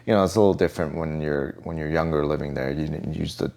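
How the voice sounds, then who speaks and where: A man's voice: very deep voice, fairly even intonation, fast talker, creaky, darkish tone. A middle-aged man from Vancouver, a podcast guest telling his life story in an easygoing, rambling way. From Vancouver, Canada. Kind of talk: casual interview chat.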